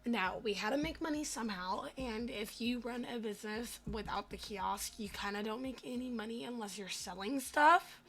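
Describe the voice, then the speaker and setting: Female gaming host, high and bright but gravelly and hoarse; casual, rambling voice-over. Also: normal speed, hardly any pauses. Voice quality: raspy, cracking in and out. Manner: rambling, self-deprecating, stumbles over words. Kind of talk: casual gameplay voice-over commentary.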